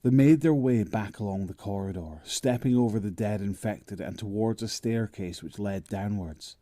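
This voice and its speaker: A man acting as the narrator of a zombie horror audio drama, telling it in a measured, descriptive way. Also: on the low side, no echo, clean studio sound, natural voice. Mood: grim, suspenseful. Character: male narrator of a grim zombie horror tale. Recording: loud music under the voice. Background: music.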